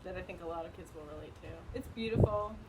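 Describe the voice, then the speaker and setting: Woman chatting casually over loud wind. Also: on the low side, fast. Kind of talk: casual book recommendation chat. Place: outdoors in the wind. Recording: loud wind noise on outdoor home video.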